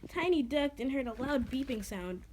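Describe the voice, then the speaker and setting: A woman's voice: high-pitched, expressive, normal speed, a little mellow, a little hiss or hum. A woman reading her own story dramatically, stumbling over words and adding casual, self-deprecating asides. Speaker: amateur reader of own creative writing.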